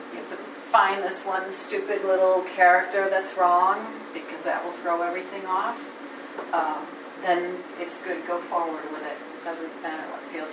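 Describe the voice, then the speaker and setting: A woman giving an informal career talk to a class in an encouraging, conversational way, often repeating her phrases. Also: on the low side. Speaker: guest speaker giving career advice to students. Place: indoor room with an audience. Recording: echoey room, distant mic, background noise.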